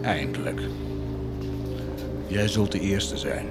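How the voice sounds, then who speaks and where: An actor playing a domineering, tormented military captain in a Dutch radio play, theatrical and intense. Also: male voice, sits low, takes it slowly, loud music behind the voice. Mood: hushed, intense. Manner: theatrical, swinging from hushed intensity to shouting.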